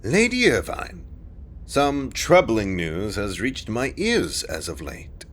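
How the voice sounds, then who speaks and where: Haughty low-voiced king acted by a man, swooping delivery. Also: wildly swooping pitch, a bit bright, slight background noise, heavily acted. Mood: imperious. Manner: pompous, condescending, deliberate. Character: haughty, disdainful king, imperious and old-fashioned. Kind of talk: fantasy audio drama acting.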